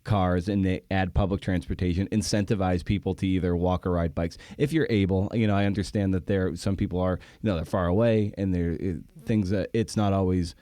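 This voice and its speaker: Guy podcast host, soft dark low voice, casual, kinda halting and rambly as he thinks out loud. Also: fairly even intonation, no echo. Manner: halting, thinks aloud in meandering sentences. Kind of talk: casual interview conversation.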